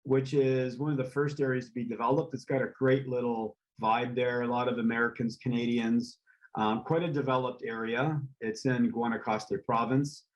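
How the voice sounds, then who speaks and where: A man, a real estate developer, speaking as a guest in a casual interview, relaxed and rambling with frequent ums and uhs. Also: medium pitch, normal speed, rough recording. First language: English.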